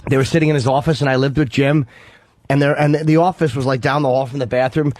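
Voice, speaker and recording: A man's voice: medium pitch, fairly even intonation, fast, darkish tone. A man doing casual, crude comedy banter on a radio talk show, brash and profane.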